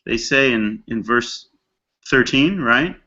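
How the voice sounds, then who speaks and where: Slightly muffled, lo-fi audio carries a man teaching a religious text. He speaks in a conversational, reflective way at an average pitch, like a storyteller working through a passage and putting questions to his listeners. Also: animated, takes it slowly, bright, forceful tone. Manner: dramatizes characters' thoughts in casual modern slang. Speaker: rabbi teaching a text study class. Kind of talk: conversational scripture lecture.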